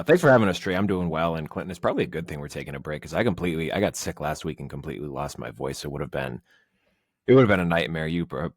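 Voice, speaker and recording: Deep-voiced man talking football analysis. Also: no room sound, studio quality. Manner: rambling, analytical, filler-heavy. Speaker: podcast guest analyst. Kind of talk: casual sports talk.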